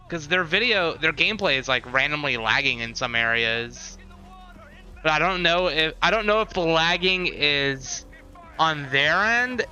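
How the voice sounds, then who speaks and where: A man's voice: on the high side, bright, forceful tone, clean tone, low-quality recording. A man chatting casually to viewers as a livestreamer, giving commentary with sarcastic, unimpressed asides.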